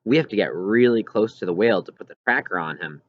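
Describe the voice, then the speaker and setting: A man reading as a kindly whale researcher, gently explaining and reassuring in a storybook. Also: on the low side, animated, quick pace, no room sound. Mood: reassuring, explanatory. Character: kindly adult whale researcher writing an apologetic email. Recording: dull, lo-fi recording.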